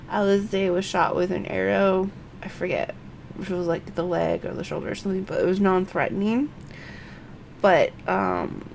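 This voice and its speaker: Creaky-voiced woman streaming a game. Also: mid-range voice, a little hiss or hum. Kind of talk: casual stream chat, reading game dialogue aloud.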